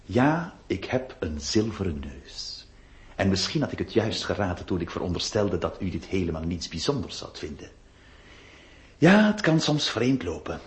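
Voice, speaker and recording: A male actor playing a flirtatious, easily flustered notary in a radio play, confiding and hesitant. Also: low voice. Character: flirtatious, flustered ladies' man notary telling his story. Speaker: lead actor and narrator. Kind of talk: theatrical radio drama acting.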